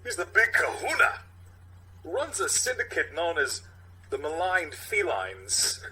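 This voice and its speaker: A man voicing a theatrical police captain in a mock cop show, with a halting, oddly stressed delivery. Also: fairly high pitch, huge pitch swings, pushed and bright, breathy edge, voice pushed up, full character voice. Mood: grave, halting. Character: police captain, male, theatrical, halting, folksy leader, movie-star impression.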